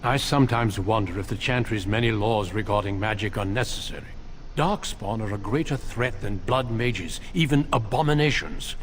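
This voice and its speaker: Actor as low-voiced, earnest veteran warrior. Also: male voice, a little hiss or hum, lightly acted. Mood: earnest, resolute. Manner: formal, measured, earnest. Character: earnest veteran warrior recruiter, polite, resolute, middle-aged man. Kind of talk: fantasy video game acting.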